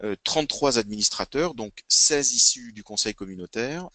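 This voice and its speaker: A man's voice: medium pitch, expressive, moderate pace, bright, forceful tone, no echo. A man giving methodical, didactic explanations in formal terms, as an expert guest in a web conference. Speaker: guest expert in local social services administration.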